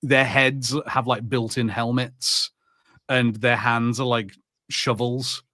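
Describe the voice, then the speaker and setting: A man's voice: mid-range voice, little pitch movement, takes it slowly, pushed and bright, dry recording. Blunt man co-hosting, close dry sound. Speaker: podcast co-host. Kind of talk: casual review chat.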